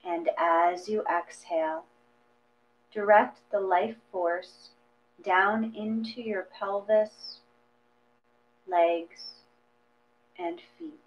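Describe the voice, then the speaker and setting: A woman reading a guided meditation from a script in a gentle, measured manner, leaving long pauses between phrases. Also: medium pitch. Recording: dull lo-fi audio with mains hum.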